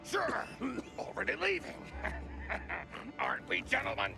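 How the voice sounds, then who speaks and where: An actor playing a gleeful, menacing renegade raider in a video game, taunting mockingly. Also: male voice, high voice, huge pitch swings, slow, vocal fry, exaggerated voice. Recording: music behind the voice.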